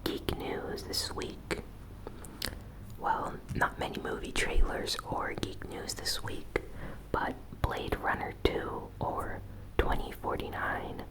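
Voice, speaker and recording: A host whispering a gaming news recap in a soft, breathy ASMR style, with an unhurried, conversational and slightly hesitant delivery. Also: poor audio.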